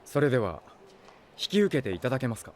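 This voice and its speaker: A man's voice: mid-range voice, sing-song, fast. A male actor playing a polite corporate representative in a Japanese audio drama, speaking formally and in a businesslike way.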